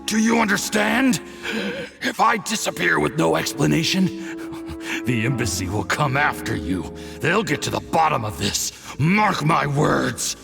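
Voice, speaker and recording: A very gravelly male diplomat, poisoned and desperate in a video game. His indignant, strained speech is slow and swings widely in pitch over music. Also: sing-song, exaggerated voice. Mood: indignant, threatening. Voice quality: gravelly, creaky. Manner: strained, indignant, halting delivery. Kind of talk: scripted video game voice acting.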